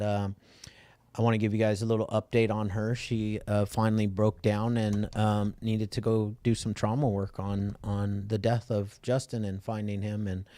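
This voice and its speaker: A man's voice: fairly low pitch, no room sound. A man hosting an online recovery meeting, talking casually and rambling with repeated words. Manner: rambling, repeats himself, gets loud when hyping the guest. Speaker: podcast host, parent.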